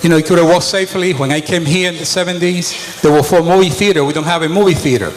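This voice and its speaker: A man's voice: on the high side, quick pace, slight background noise. Plainspoken Dominican-born man in a hall. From Dominican Republic. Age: middle-aged. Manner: plainspoken, conversational storytelling. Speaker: mayoral candidate. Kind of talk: debate opening statement. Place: school auditorium. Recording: hall with long reverb.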